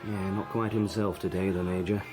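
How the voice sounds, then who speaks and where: An actor playing a polite, quietly scheming British army sergeant, deferential and measured, with a British accent. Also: male voice, sits low, level tone, over music, close to an everyday voice. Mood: businesslike, sly. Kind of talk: radio drama acting.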